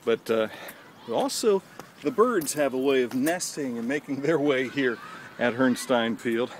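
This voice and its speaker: A man's voice: medium pitch, animated, unhurried, slight background noise. Chatty man giving a stadium walking tour. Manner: chatty, folksy, hometown pride. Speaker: local stadium tour guide. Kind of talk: handheld walking tour narration. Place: outdoor high school stadium. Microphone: handheld camera at arm's length.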